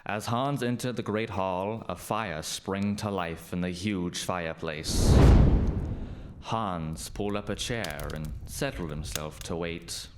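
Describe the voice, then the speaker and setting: Deep, slow-paced male storyteller. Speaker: narrator. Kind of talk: scripted spooky storytelling for radio play. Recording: faint background noise.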